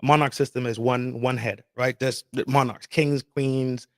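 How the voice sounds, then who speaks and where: A man's voice: mid-range voice, fairly even intonation, normal speed, dry recording, clean studio sound. Profane, fired-up male podcast host. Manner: profane, emphatic, repetitive, street slang. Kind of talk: casual opinion rant.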